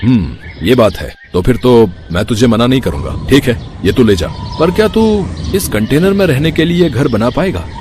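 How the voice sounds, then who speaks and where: A man acts a kindly warehouse boss in a cartoon story, with very lively pitch movement. He talks familiarly, with easy authority, over faint noise. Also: moderate pace, lightly acted. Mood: matter-of-fact, kindly. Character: kindly warehouse boss, casual with his worker.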